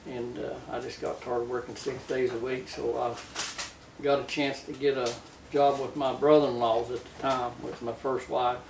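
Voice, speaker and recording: A man's voice: medium pitch, little pitch movement, moderate pace, vocal fry. Older Southern man, distant roomy recording. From Tennessee, United States. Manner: folksy, plainspoken. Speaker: interview guest, retired-era trucker telling his life story. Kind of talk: casual reminiscing. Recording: roomy, distant mic with faint noise.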